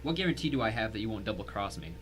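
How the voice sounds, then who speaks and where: A man's voice: on the low side, lively intonation, very fast, a bit bright, a little hiss or hum. A man playing a blunt, defiant, cornered tennis pro in his thirties in an audio drama, curt and bitter. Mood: wary defiance. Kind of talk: dramatic audio story acting.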